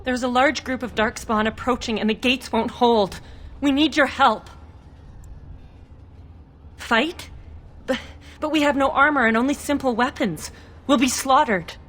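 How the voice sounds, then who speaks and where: Plucky commoner for a fantasy game: highish voice, urgent, halting, brave under fear. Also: female voice, fairly bright tone. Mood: urgent, anxious. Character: frightened but brave common townswoman rallying defenders. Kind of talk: video game voice acting.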